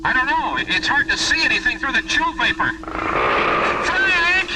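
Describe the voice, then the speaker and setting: An actor playing an easygoing, joking space shuttle co-pilot in a science fiction radio drama, with a casual delivery. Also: male voice, very high voice, sing-song, normal speed, heavy background noise. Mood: frightened. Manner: casual, bantering.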